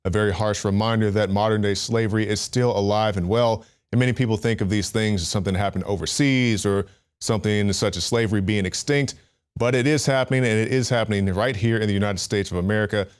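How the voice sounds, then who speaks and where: A man hosting a news segment, reading a measured intro and then interviewing a guest. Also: fairly low pitch, fairly even intonation, clear, resonant tone. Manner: measured, reads scripted intro then asks questions.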